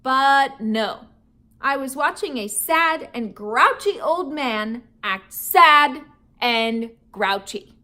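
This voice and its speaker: A woman teacher reads a children's chapter book aloud to young listeners and stops to teach vocabulary words. Her voice sits high and bright, and it swings a lot as she goes. She laughs often and adds playful, chatty asides. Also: animated, takes it slowly.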